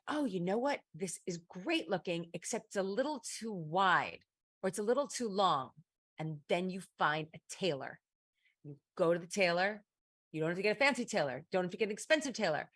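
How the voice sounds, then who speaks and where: A middle-aged woman hosting a casual livestream advice show, talking in an emphatic, chatty way with many quick asides. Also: lowish voice, fairly bright tone, no echo, clean studio sound. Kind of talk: casual livestream chat reading viewer comments.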